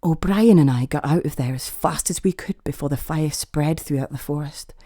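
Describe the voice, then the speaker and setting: A woman's voice: deep voice, moderate pace, warm tone, no room sound, studio quality. A woman reading scripted first-person fantasy horror narration as a storyteller.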